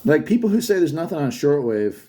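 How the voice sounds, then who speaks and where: A man's voice: a little high, very animated, a little fast. A man chatting off the cuff in an enthusiastic way, thinking aloud as he tunes a radio in a room. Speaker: host of a radio hobby channel. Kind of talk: casual off-the-cuff commentary while tuning a radio. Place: room with fridge and air conditioner running. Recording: noisy, shortwave radio static behind. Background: radio static, appliance hum.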